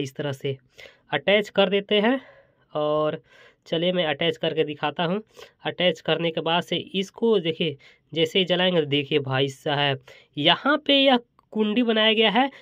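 A man's voice: high voice, fairly bright tone, no room sound. A man hosting a casual hands-on product showcase, chatty and exclamatory, often repeating his phrases as he demonstrates. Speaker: toy and gadget review host.

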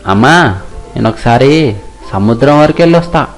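A man's voice: mid-range voice, expressive, normal speed, soft background music, natural voice. A man playing a devoted, generous young fisherman with an earnest, caring manner in an acted moral story.

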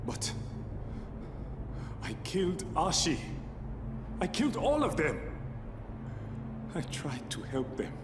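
A tormented samurai in an animated game, his voice raised high and full of guilt. He speaks slowly and haltingly, ringing in long reverb over noisy ambience. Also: heavily acted. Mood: guilt-ridden despair. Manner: halting, emotional, formal diction. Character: anguished, guilt-ridden samurai man, desperate and haunted. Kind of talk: dramatic animated game voice acting.

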